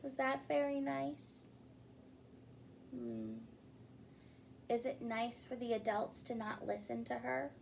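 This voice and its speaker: A woman reading a children's picture book aloud as a story-time host, in an expressive manner. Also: fairly high pitch. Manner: expressive, pausing to ask listeners questions. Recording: clearly noisy recording.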